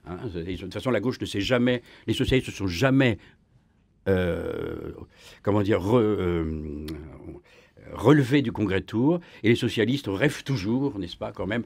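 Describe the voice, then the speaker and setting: A man speaking as a historian guest in a radio debate, emphatic and full of restarts, his pitch rising when he presses a point. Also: normal speed, no echo. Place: radio studio.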